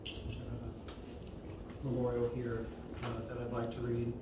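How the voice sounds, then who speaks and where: A man giving an informal eulogy at a memorial, speaking hesitantly with frequent pauses. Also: medium pitch, monotone. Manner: hesitant, pausing often. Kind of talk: informal memorial tribute, halting. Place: large room at a memorial service. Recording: echoey, noisy room, far from microphone.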